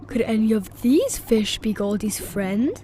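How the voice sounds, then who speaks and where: Woman as storybook father pig: jovial, easily amazed, unhurried, big swings in intonation. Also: fairly high pitch, lively intonation, warm tone, slight background noise. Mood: asking. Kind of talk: children's storybook character voice.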